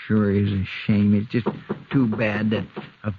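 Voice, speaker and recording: An actor playing a loyal, deferential, rustic deputy sidekick in a 1950s western radio drama. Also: male voice, fairly low pitch, flat delivery, a little slow. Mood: mild, deferential. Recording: dull, noisy old radio recording.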